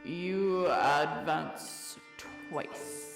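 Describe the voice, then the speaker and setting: An actor playing a mournful, solemn turtle creature in an audio drama, speaking in a slow, drawn-out way. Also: medium pitch, creaky. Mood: instructive, wistful. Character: sorrowful old Mock Turtle, slow, sighing and solemn. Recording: roomy, distant mic, music underneath.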